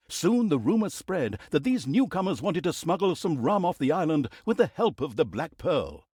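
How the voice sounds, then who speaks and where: A man's voice: on the high side, a little mellow, slightly rough, no room sound, studio quality. A man playing a mock-grand, sardonic narrator of a villain's exploits in a fantasy video game, telling the story in a hammy theatrical way.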